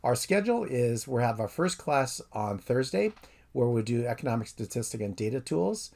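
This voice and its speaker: Man giving a steady course introduction. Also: medium pitch, normal speed, creaky. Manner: steady, methodical explainer. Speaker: professor. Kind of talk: recorded course intro lecture.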